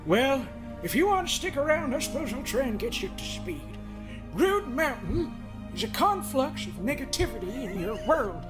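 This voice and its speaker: Woman as a theatrical, smug male therapist, swooping pitch. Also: very animated, normal speed, low-quality recording. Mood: exasperated lecturing. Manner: theatrical, rambling, folksy, mock-stern. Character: smug, scolding middle-aged male therapist of the universe. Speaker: fan dub voice performer. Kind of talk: improvised comedic game fan dub. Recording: music under the voice.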